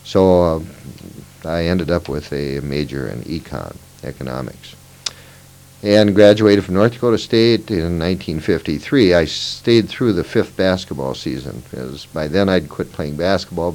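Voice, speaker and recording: Low-voiced man in his fifties reminiscing. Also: moderate pace, a little mellow, rough recording. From Moorhead, Minnesota. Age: 50s. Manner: reminiscing, meandering with fillers and self-corrections. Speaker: interviewee. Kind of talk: oral history interview.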